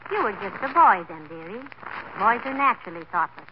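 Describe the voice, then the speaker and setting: A woman's voice: medium pitch, sing-song, a little fast, background noise, lightly acted. Wry radio-comedy wife played by a woman, muffled recording. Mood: wry, chiding. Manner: gently chiding, endearments, wry. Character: patient wry wife, sweetly correcting her husband. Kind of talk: 1940s radio comedy acting.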